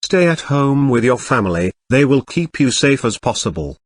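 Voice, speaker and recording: A man's voice: mid-range voice, sing-song, moderate pace, no room sound. A man reading a scripted announcement for young children as a TV channel presenter.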